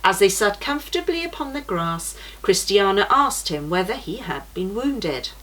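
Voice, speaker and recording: A woman's voice: medium pitch, very animated, normal speed, rough recording. A young woman reading a children's storybook aloud for a church story time, shifting her voice for the characters. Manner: gentle, shifts voice for characters in quotes.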